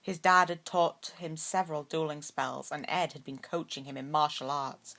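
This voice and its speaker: A woman's voice: sits low, fairly even intonation, normal speed, fairly bright tone. A woman reading scripted story narration as an amateur audiobook narrator.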